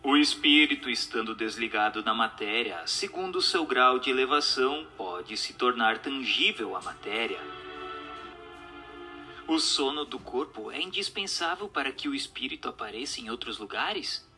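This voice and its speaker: A man with a Brazilian accent giving a formal, measured scripted narration of a spiritual text. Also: mid-range voice, animated, sharp tone. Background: music.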